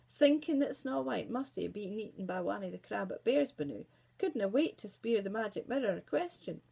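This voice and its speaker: A woman with a Scottish accent reading a children's fairy tale in Scots as an expressive storyteller. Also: medium pitch, creaky, slightly rough.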